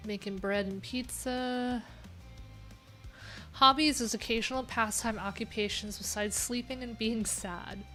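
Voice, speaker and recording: A woman's voice: a little high, fairly even intonation, measured pace, bright, forceful tone. A woman hosting a casual livestream, reading out viewers' answers and adding loose, chatty asides with lots of fillers. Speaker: livestream host. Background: pop punk music playing.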